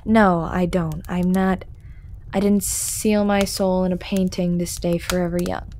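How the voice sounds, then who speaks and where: A performer voicing a shy, hooded male storyteller, centuries old, who speaks softly with lots of hesitant stammering and whispers in an audio roleplay. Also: mid-range voice, flat delivery, a little slow, a little hiss or hum, close to an everyday voice. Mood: bashful. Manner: hesitant and stammering, many ums and restarts; soft-spoken, often whispering.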